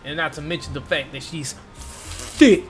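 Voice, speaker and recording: A woman's voice: low voice, unhurried. A woman gamer reacting casually to gameplay in an informal, slangy, joking way. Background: game audio and music.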